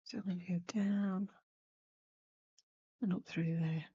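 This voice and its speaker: A woman hosts a casual craft demonstration in a croaky, cold-roughened voice full of gravelly fry. She takes her time, rambling and thinking aloud as she works. The sound is a little dull. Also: lowish voice, fairly even intonation, a little slow, warm tone. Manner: unscripted, rambling, thinking aloud while working. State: croaky, hoarse after a cold. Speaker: craft tutorial host. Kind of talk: casual chatty needlework demonstration.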